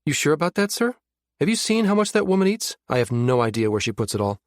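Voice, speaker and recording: A man's voice: fairly high pitch, big pitch swings, quick pace, no echo, studio quality, voice pushed up. A man voicing a sardonic team medic in an audiobook, in a raised, incredulous tone.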